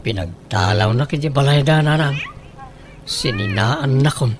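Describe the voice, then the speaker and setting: A man's voice: medium pitch, unhurried, slight background noise, lightly acted. A man playing a sheepish grown son speaking to his parents in a comedy radio drama. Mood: dejected.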